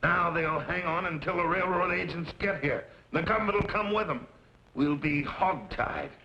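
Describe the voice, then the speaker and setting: Gravelly, forceful western boss. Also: male voice, on the high side, normal speed. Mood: scheming, urgent. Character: ruthless domineering western land baron stuck in a chair. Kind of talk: old-time radio western acting. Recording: dull, noisy old radio recording.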